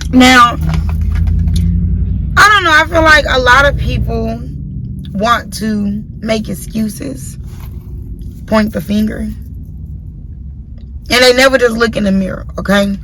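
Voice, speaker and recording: A woman's voice: a little high, slow pace, long pauses, noisy background. A woman in her late twenties hosting her own podcast, giving a blunt, profane opinion monologue and repeating her points for emphasis. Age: late 20s. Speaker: podcast host. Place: in a car.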